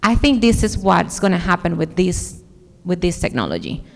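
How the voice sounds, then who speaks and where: Dominican woman, gravelly, echoey hall. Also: lowish voice, sing-song, normal speed. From Dominican Republic. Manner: earnest, searching for words. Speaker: conference speaker. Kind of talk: audience Q&A answer. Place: large conference hall.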